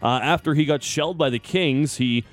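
A man's voice: on the high side, normal speed. A man co-hosting a sports show, running through hockey scores in slangy, casual banter. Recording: music playing behind the voice. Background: music bed.

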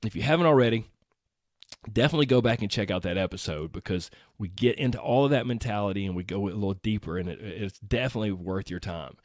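A man hosting his podcast alone, his voice hoarse after being sick, talking in an upbeat, earnest way with frequent exclamations. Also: on the low side, fast talker, no echo, studio quality. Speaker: podcast host. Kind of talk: solo podcast talk.

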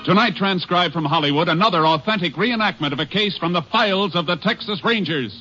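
A man's voice: high-pitched, brisk, loud music behind the voice. An American man announcing a 1950s radio drama in a dramatic, scripted style. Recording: muffled old radio broadcast.

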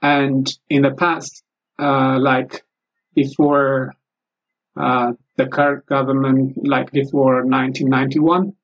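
A man whose first language is Amharic, speaking English with an Ethiopian accent, answering interview questions carefully with frequent pauses. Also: medium pitch, little pitch movement. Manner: halting, careful, many pauses. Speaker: guest, former English teacher. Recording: lo-fi recording.

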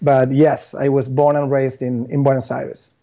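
A man from Argentina with an Argentine Spanish accent, a podcast guest talking at a thoughtful pace with many pauses. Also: medium pitch, flat delivery, vocal fry, warm tone, muffled recording. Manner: thoughtful, many fillers and restarts. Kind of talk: casual interview chat.

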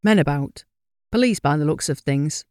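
Woman doing an old northern country fella, slow plain talker, storybook character. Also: low-pitched, lively intonation, darkish tone, dry recording, clean studio sound. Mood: wary, kindly. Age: elderly. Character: slow-spoken elderly countryman walking his dog.

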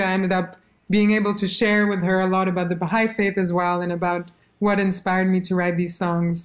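Woman, musician, interview guest, German native speaker, animated, quotes people, mid pitch. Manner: animated storytelling, quotes others, laughs. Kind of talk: casual interview conversation.

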